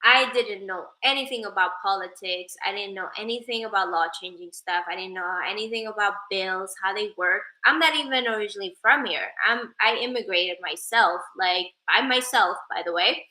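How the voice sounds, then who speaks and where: A woman's voice: medium pitch, moderate pace, hardly any pauses, bright, forceful tone, echoey room, poor audio. A woman from Sweden, whose first language is Persian, chatting casually on a livestream and thanking viewers by name. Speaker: livestreamer and advocate.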